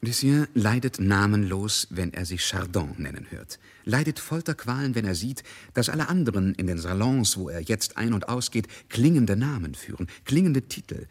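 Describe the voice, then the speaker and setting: An actor narrating a literary radio drama in a measured, emphatic, worldly manner. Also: male voice, fairly low pitch, lively intonation. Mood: dramatic narrating.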